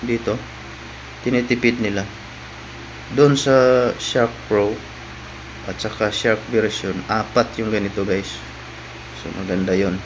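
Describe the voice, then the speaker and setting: A man giving a casual, halting unboxing narration as a vlogger, often tagging phrases with a questioning word. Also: on the low side, fairly even intonation, background noise.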